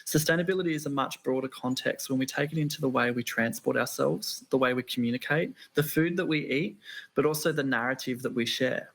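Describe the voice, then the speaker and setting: Guy on a panel, mid pitch, steady list-y explaining. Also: a little fast, vocal fry. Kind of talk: panel discussion answers.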